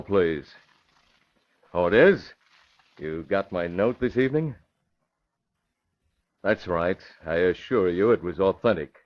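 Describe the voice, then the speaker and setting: An actor playing a commanding, calculating masked crime-fighter, crisp and confident, in a 1940s-style radio drama. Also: male voice, sits low. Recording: dull lo-fi old radio recording.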